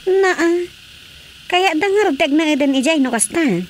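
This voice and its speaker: An expressive wife with a high voice that swings up and down a lot. She has an Ilocano Filipino accent and delivers radio drama lines over faint noise. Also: measured pace. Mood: curious.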